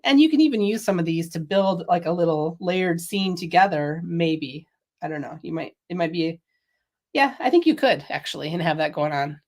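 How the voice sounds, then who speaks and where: Middle-aged woman, lowish voice, chatty craft host, slightly sniffly from a cold. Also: lively intonation, normal speed, a little mellow. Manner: chatty, laughs often, reads viewer comments. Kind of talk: casual product demo chat.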